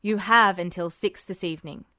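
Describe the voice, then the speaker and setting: A woman voicing a guarded male officer who speaks low, clipped and commanding, in a fan audiobook reading. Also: normal speed, bright, forceful tone, dry recording, studio quality. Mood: low, urgent.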